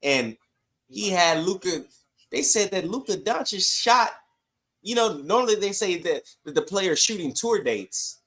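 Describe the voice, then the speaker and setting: A man's voice: high voice, animated, a little slow, sharp tone. A man co-hosting a sports podcast, chatting casually in slangy, emphatic bursts.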